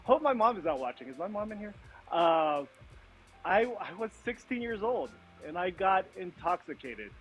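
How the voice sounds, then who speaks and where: A man whose first language is Tagalog, a guest magician, telling a story on a video call in a sheepish, halting way with many fillers. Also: sits high, big pitch swings, a bit bright, faint music behind, rough recording.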